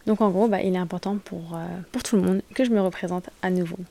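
A woman's voice: fairly low pitch, quick pace, warm tone, a little hiss or hum. Hesitant young woman podcaster. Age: late 20s. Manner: spontaneous, hesitant, many fillers and restarts. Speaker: podcast host. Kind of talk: casual solo podcast monologue. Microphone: podcast microphone.

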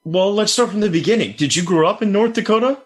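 A man's voice: sits high, quick pace. A man interviewing a guest, encouraging her to tell her story and laughing along often. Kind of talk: conversational interview.

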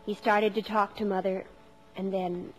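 An actress playing a sincere, devoted teenage daughter who also narrates a 1950s radio drama, with a wistful manner. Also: female voice, medium pitch, level tone, unhurried, lightly acted, low-quality recording. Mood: wistful, narrating.

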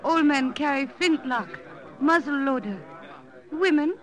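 A woman's voice: sits high, lively intonation, unhurried, a bit bright. A woman playing a stubborn village tribeswoman in an old radio drama, emphatic in broken English. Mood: insistent. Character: stubborn village tribeswoman insisting on joining the fight. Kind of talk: 1950s radio drama acting.

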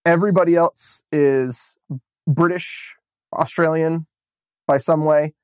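A man's voice: on the high side, steady tone, takes it slowly, no echo, clean studio sound. An American man co-hosting a podcast, chatting casually about a film with enthusiasm and plenty of fillers and restarts. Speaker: podcast co-host. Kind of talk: casual movie review chat.

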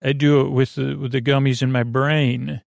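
A man hosting a sleep podcast in a rambling, conversational bedtime monologue, halting and drifting off on tangents in a gravelly voice. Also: mid-range voice, little pitch movement, a little mellow, no echo, studio quality.

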